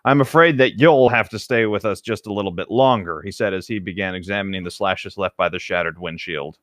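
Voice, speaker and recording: A man co-hosting a comedic read-along, switching between reading aloud and rambling deadpan riffs. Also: low-pitched, brisk, no room sound, clean studio sound. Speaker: podcast co-host reading a novel aloud with commentary.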